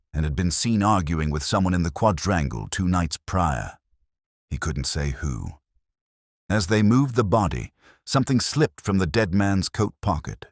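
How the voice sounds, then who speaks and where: Weary detective narrator, played by a man: very deep, expressive delivery, dry close sound, measured and wry, audio drama. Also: dry recording, exaggerated voice. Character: world-weary middle-aged male detective narrating. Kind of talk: first-person scripted audio drama narration.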